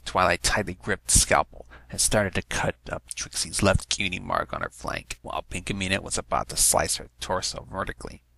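Low creaky man reading a story. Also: animated, brisk, hardly any pauses, sharp tone, no room sound. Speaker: narrator. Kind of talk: scripted story reading of fan fiction.

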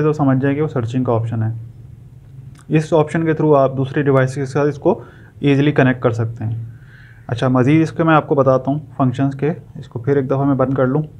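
A man's voice: medium pitch, darkish tone. A man gives an informal step-by-step product tutorial, explaining patiently and often checking in with short tags. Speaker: product reviewer giving a tutorial. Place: indoor room, tabletop demonstration. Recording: dull sound with background noise.

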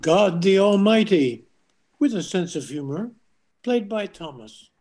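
A man's voice: sits high, lively intonation, a little slow, darkish tone, exaggerated voice. An older man playing God the Almighty with a sense of humor in an amateur radio play. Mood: introducing himself.

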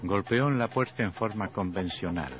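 A man's voice: on the low side, normal speed. A man narrating a scripted horror story as radio theatre. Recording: dull vintage radio recording. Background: music.

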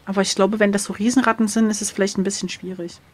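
A woman's voice: mid-range voice, steady tone, fast, soft background music. A woman playing along with an adventure gamebook in casual, slangy chat, joking and sarcastic. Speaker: co-host and player.

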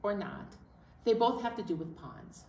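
A middle-aged woman presenting storytime to young children, animated and encouraging as she talks and leads action songs. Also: mid-range voice, normal speed, gravelly. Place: indoor library storytime set. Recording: roomy, distant mic, faint noise.

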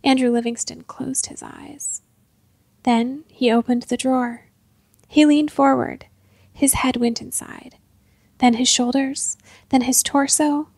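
Female narrator for fiction, slightly high with heavy creak, measured scripted read, very dry sound. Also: darkish tone, dry recording. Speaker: storyteller, narrator.

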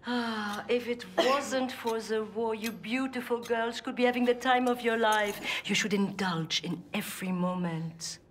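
A woman's voice: on the high side, measured pace, few pauses, slight background noise, natural voice. An actress playing a worldly, playful French resistance woman in a video game, confident and maternal, with a French accent. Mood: indulgent. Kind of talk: video game cutscene acting.

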